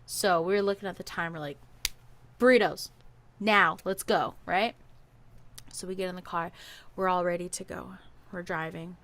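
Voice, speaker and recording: A young woman from Hawaii co-hosting a podcast, telling a story in a lively, laughing way. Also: fairly low pitch, normal speed, pauses often, slight background noise. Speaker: podcast co-host. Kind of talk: casual storytelling.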